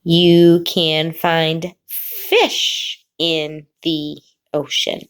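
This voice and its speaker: A woman teacher reading a picture book aloud to children, pausing before key words and explaining the pictures. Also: low-pitched, level tone. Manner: slow, drawn-out reading with sing-song pauses before the last word.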